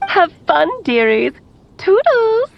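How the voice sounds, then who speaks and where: A woman's voice: very high-pitched, sing-song, slow pace, creaky, slight background noise, full character voice. A woman voicing a playful, theatrical mage who talks with doting cheer in a video game, as if on a recorded message. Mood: playful, cheery. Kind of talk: English video game voice acting. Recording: dull lo-fi recorded message, faint music.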